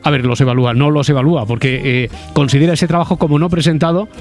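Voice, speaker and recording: A man's voice: on the high side, fairly even intonation, fast, a little mellow, soft background music. A male radio host leading a studio interview, talking in a loose, wry way with lots of fillers and restarts. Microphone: studio mic.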